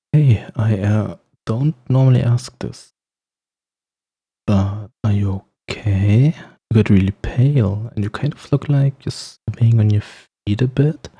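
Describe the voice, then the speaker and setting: A man's voice: fairly low pitch, dark tone, slightly rough. A man playing a teasing, snarky supervillain with firm standards in an ASMR roleplay, speaking straight to the listener with sarcastic, playful banter. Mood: amused, chatty, then concerned, mock-threatening.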